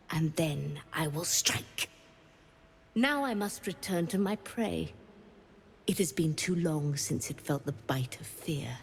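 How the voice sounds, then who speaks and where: A woman voicing a savage predatory hunter creature in a video game, declaiming with slow, theatrical menace. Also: fairly low pitch, a little hiss or hum. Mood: cold, menacing. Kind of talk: dramatic video game acting.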